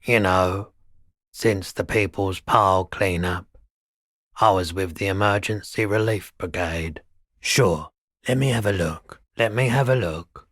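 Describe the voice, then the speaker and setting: A man playing a weary, gentle, eccentric middle-aged pawnshop keeper in a video game, with a laid-back, polite and musing manner. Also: sits low, unhurried, dry recording, studio quality. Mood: laid-back, wistful. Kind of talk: video game character dialogue.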